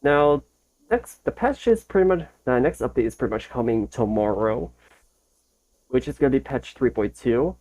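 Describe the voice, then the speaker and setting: A reaction video host giving casual commentary in short, halting phrases, thinking aloud. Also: mid-range voice, animated, mellow, rough recording.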